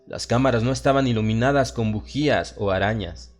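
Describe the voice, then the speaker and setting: A man with a Latin American Spanish accent narrates a horror audiobook in a solemn, measured, dramatic way. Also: medium pitch. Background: music.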